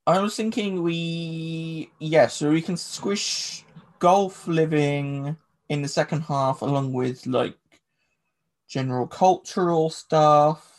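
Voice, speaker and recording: A man's voice: fairly high pitch, slow pace. An English man hosting a podcast, rambling through casual chat with lots of filler words. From England. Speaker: podcast host.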